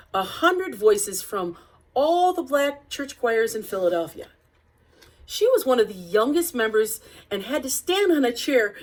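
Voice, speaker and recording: A woman with a high voice narrates a storybook at an unhurried, slow pace. Her phrasing is deliberate and expressive, as in a read-aloud for a picture book.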